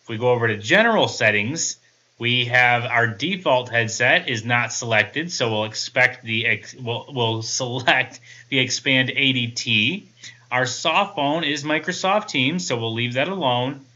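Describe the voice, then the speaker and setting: Guy doing a casual tech setup walkthrough, bright clear-cut voice, goes step by step. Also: mid-range voice, measured pace, dull audio. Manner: methodical, informal step-by-step explaining. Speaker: tech product reviewer.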